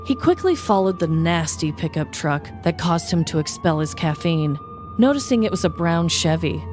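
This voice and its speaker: Lower-pitched woman hosting a comedy podcast. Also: darkish tone. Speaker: podcast host. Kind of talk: scripted comedic true-crime narration and casual chat.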